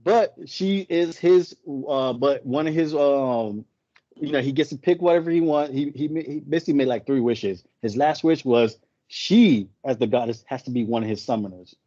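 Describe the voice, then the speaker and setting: A man with a mellow, warm voice retells a story in a loose, rambling way, stopping often to correct himself. English is not his first language. The sound is dry and a little muffled. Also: mid-range voice, normal speed, dry recording. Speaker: co-host. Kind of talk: casual podcast chat.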